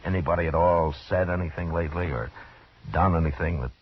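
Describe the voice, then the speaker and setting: An actor playing a calm, dry, authoritative US marshal in a 1950s-style radio western. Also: male voice, low-pitched, fast, husky. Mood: calm, concerned. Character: steady, wry US marshal of a frontier town. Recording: dull, noisy old radio recording.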